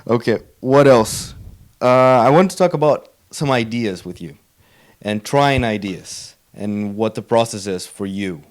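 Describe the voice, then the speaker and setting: A man's voice: lowish voice, expressive, unhurried, darkish tone. A man interviewing casually as a podcast host, agreeing often as he goes. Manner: agreeable, frequent backchannels.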